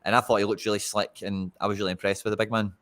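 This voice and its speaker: A man's voice: lowish voice, rapid-fire. A man co-hosting a fantasy football live stream, casually going through player stats. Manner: steady, reads out player statistics. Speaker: fantasy football podcast co-host.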